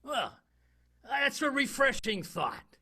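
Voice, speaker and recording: An actor playing a wistful 1920s New York police detective in an improvised comic radio play, with halting, exclamatory speech. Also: male voice, very high-pitched, very animated, pushed and bright, full character voice. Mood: earnest, hesitant. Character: male 1920s NYPD detective, wistful war veteran.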